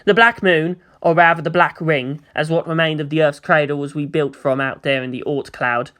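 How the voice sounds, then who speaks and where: A woman's voice: deep voice, steady tone, moderate pace, fairly bright tone. A woman narrating scripted fiction in a dramatic audiobook style.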